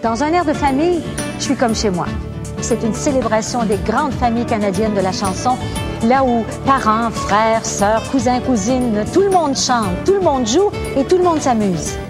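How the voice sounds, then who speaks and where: A woman's voice: a little high, expressive, moderate pace. A woman narrating a show promo in an upbeat, enthusiastic way. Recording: voice with music behind.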